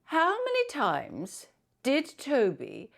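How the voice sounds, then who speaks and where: A woman's voice: high voice, wildly swooping pitch, takes it slowly, creaky, heavily acted. An actress playing a bitter, theatrical middle-aged mother who speaks with pompous sarcasm in a film drama. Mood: bitter, commanding. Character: bitter, theatrical mother hosting a hostile family quiz. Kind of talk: acted film dialogue.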